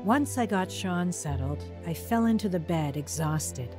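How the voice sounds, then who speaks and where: A woman's voice: on the low side, lively intonation, measured pace. An actress playing a weary young single mother who narrates her story in a tired, reflective way. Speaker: narrator and lead character. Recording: music under the voice.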